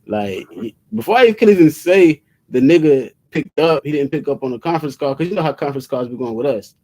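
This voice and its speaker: A man's voice: fairly high pitch, animated, brisk, dark tone, rough recording. An American man in his thirties chatting casually and telling a story in slangy speech. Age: 30s. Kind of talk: casual chat, telling an anecdote.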